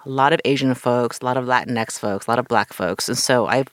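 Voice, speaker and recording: A woman in her thirties, a theater director from Southern California, chatting as an interview guest, animated and quick to laugh. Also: very deep voice, no room sound, studio quality. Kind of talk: casual interview chat. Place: recording studio.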